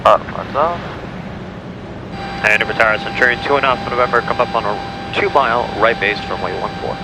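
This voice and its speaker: A man's voice: medium pitch, fairly quick. An American man, a pilot and vlogger, talking casually and making radio calls on a headset from a small airplane cockpit. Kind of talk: aviation radio calls and casual vlog talk. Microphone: aviation headset via audio cable. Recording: loud small aircraft engine noise.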